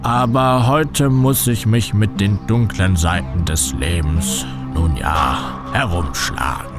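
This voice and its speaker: A man's voice: lowish voice, slow pace, over music. An actor playing a shady, theatrical older male reporter in a comic radio play, speaking in an ominous, melodramatic way.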